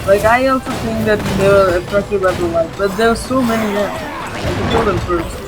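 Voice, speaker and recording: A woman gives casual gameplay commentary in an average-pitched voice. Her delivery is informal and rambling, full of filler "like". Game music is clearly audible behind her. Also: normal speed. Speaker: gaming video host.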